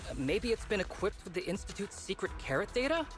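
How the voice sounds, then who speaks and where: An actor playing an outspoken, expressive male investigator in an anime-style video game. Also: high-pitched, fast talker, soft background music. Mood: musing, impressed.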